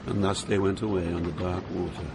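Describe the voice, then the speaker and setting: A man's voice: deep voice, little pitch movement, a little fast. An actor playing an awed, troubled poet who narrates his journey through hell with earnest drama. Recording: noisy atmospheric background.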